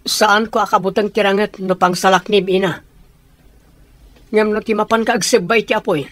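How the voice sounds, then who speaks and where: An actor playing an earnest, peace-seeking son who pleads and reasons, in an Ilocano Filipino radio drama. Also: male voice, high-pitched, steady tone, normal speed, fairly bright tone, no echo. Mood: earnest pleading.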